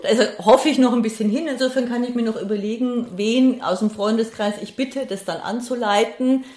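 A woman's voice: on the high side, fairly even intonation, brisk, darkish tone. A middle-aged woman, talking casually and reflectively as an interview guest, hesitant as she thinks aloud. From Passau, Bavaria, Germany. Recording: roomy, echoey sound.

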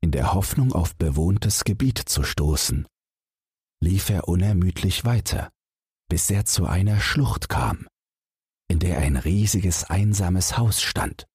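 A man's voice: low voice, lively intonation, takes it slowly, a little mellow, slightly rough, no room sound, studio quality. A man narrating a scripted bedtime fairy tale as an audiobook reader.

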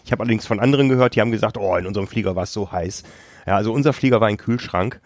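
A man's voice: lowish voice, very fast, darkish tone, no echo. Chatty man with a slight cough. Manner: chatty storyteller, laughs often. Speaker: podcast co-host. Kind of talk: casual chat. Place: hotel on a tropical island. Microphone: remote call.

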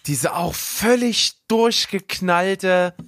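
A man's voice: sits high, extremely slow, a bit bright, no room sound, studio quality. A man chatting casually as a podcast panelist in colloquial, slangy German. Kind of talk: casual chat.

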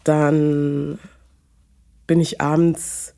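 Slow, low-voiced young Munich woman. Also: level tone, vocal fry, mellow. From Munich, Germany. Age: 20s. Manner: hesitant, halting, many fillers. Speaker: guest. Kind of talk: personal conversation.

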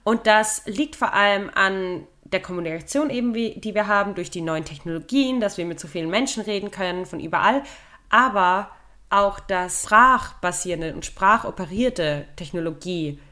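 A woman co-hosting a podcast, explaining things in a relaxed chat and laughing at her own jokes. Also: mid-range voice, normal speed. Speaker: podcast co-host. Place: living in London.

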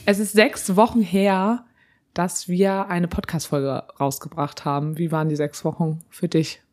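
A chatty woman with a slightly husky, hoarse voice, co-hosting a relaxed podcast conversation. She laughs easily and loops back through her thoughts, and her voice sits a little low for a woman. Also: measured pace. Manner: chatty, laughs often, rambling restarts. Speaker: podcast co-host. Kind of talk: casual chat.

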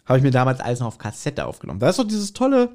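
A man's voice: medium pitch, wildly swooping pitch, racing, dark tone. A man hosting a casual podcast chat, telling stories in a rambling, joking way. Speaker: podcast host.

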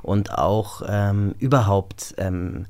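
Low-voiced man in casual interview. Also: takes it slowly, a little mellow. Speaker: actor, interview guest.

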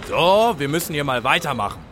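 An actor plays a male construction worker in an audio drama for children. He is busy and explains things in a brisk, practical way. Clear street and machine noise runs behind his voice. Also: a little high, big pitch swings, fairly bright tone. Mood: patient, busy. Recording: noisy outdoor street with vehicle sounds.

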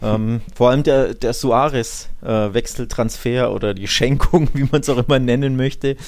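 A man's voice: mid-range voice, moderate pace, darkish tone. A man hosting a football podcast, chatting casually and joking, thinking aloud with small fillers. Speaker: podcast host.

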